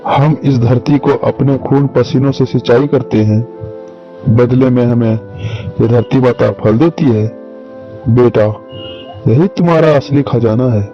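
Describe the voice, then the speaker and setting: A man's voice: mid-range voice, measured pace, close to an everyday voice. A man voicing a wise, patient village holy man who sermonizes in a cartoon moral story. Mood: wise, preachy. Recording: muffled sound, faint music behind.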